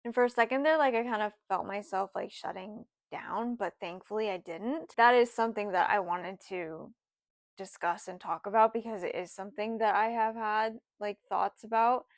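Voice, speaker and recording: A woman in her twenties, rambling through a casual personal story with lots of fillers, her voice sometimes dropping soft. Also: medium pitch, normal speed, no echo, studio quality. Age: 20s. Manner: rambling, lots of "like" and "you know", voice drops soft at times. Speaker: vlogger.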